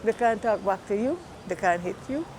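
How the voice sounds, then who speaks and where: A woman's voice: medium pitch, expressive, normal speed, darkish tone. Older Guyanese woman on a noisy street. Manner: matter-of-fact. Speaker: interviewee, morgue attendant. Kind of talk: casual chat. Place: outdoors on a street. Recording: noisy outdoor street with traffic.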